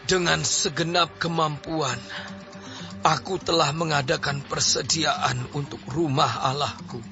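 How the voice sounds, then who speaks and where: Slow, stately old king. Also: fairly high pitch, fairly even intonation. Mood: solemn, earnest. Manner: declamatory, reverent, deliberate. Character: aged King David, solemn, devout, stately ruler. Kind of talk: audio drama Bible acting. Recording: slightly dull audio under clear music.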